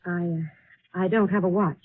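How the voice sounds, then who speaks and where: An actress playing a polite, earnest and puzzled young office worker in an old-time radio mystery, speaking a little hesitantly. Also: female voice, on the low side, fairly even intonation, unhurried. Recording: tinny, noisy vintage radio recording.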